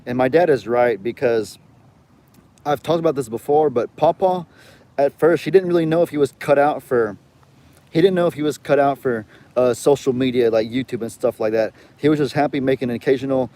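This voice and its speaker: A man's voice: medium pitch, fairly quick, warm tone. A young man with a light Southern US accent, hosting a casual live chat and rambling as he reads viewer comments aloud. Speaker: livestream host.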